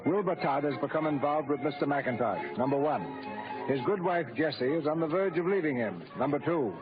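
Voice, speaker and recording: A man gives scripted, formal narration for an old radio play. His voice sits a little high and sounds dramatic. Music plays clearly behind him on a muffled aged recording. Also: animated, fairly quick.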